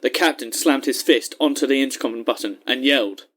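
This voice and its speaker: Measured male story narrator, mid-pitched. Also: fairly bright tone.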